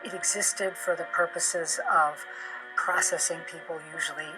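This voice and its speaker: A woman expert explains in a measured, deliberate way in a clip taken from an interview. Music plays behind her voice. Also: fairly low pitch, fairly even intonation, poor audio.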